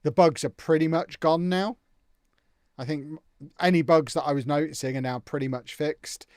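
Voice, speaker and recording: A man's voice: on the high side, steady tone, normal speed, darkish tone, no room sound, studio quality. A young man chatting casually and jokily on a live stream, answering viewers' comments as he plays a football management game.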